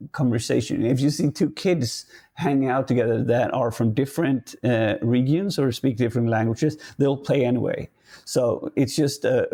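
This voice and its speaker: A man with a Swedish accent and a gravelly, dark, mellow voice, talking loosely in a relaxed podcast chat. He stops and restarts often, hunting for the right English word, and then carries on. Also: medium pitch. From Sweden. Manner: halting, searching for words, many restarts. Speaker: podcast guest. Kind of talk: casual podcast conversation.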